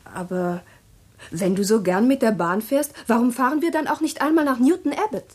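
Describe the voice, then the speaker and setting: A woman's voice: a little high, normal speed, darkish tone, breathy edge. An actress in a German radio drama playing a young wife who keeps pressing her husband with questions. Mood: coaxing. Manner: questioning, insistent. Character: anxious, probing young wife. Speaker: wife Pamela.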